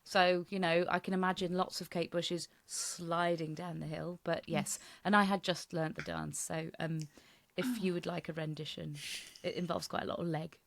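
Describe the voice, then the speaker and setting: A woman's voice: lowish voice, level tone, moderate pace, creaky. A woman with a British accent co-hosting a podcast in casual chat, wry and self-deprecating. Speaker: podcast co-host.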